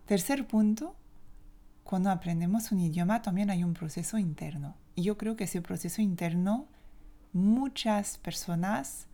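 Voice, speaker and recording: A woman with a French accent talks through her views as a language teacher on a solo podcast. Her voice sits a little low and sounds mellow and warm. She speaks in a measured, reflective way and states her opinions plainly. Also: creaky. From France.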